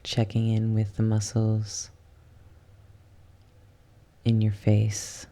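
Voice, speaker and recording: A soft, hushed voice guiding a yoga nidra relaxation in ASMR style, soothing and unhurried, slipping at times into a whisper. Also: medium pitch, completely flat delivery. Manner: soothing, unhurried, with long pauses. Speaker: guided relaxation instructor.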